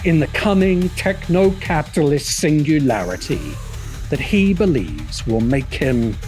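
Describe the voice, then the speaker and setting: A man's voice: a little high, very animated, unhurried, darkish tone. A man delivering scripted polemical commentary as a video essay host, with a dramatic, scornful, emphatic manner. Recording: music bed behind voice. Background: background music.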